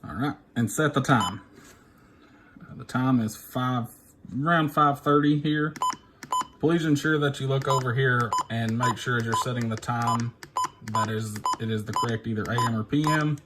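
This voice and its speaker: A man's voice: medium pitch, normal speed. A man gives an informal, unscripted tutorial, thinking aloud as he works through each step on a device. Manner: unscripted, thinking aloud while pressing buttons. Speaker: instructor demonstrating a device. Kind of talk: informal hands-on tutorial, talking through steps. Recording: noisy recording with device clicks and beeps.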